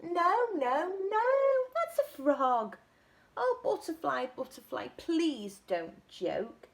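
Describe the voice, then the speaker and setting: A woman voicing an anxious little monkey searching for its mum, reading rhymes in a sing-song way with high cries of protest. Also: sing-song, slow pace, gravelly, heavily acted. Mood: fretful. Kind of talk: storybook read-aloud.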